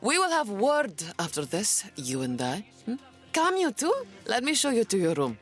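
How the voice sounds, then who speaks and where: An actress playing a sassy, warm-hearted innkeeper's wife with a teasing, theatrical manner in an audio drama. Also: female voice, mid-range voice, huge pitch swings, moderate pace. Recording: faint music behind.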